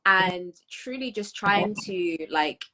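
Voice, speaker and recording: A woman's voice: medium pitch, animated, takes it slowly, sharp tone, a little hiss or hum, low-quality recording. A British woman in her twenties chatting casually as a podcast co-host, emphatic and slangy, dropping in Spanish phrases. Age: 20s. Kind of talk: casual chat.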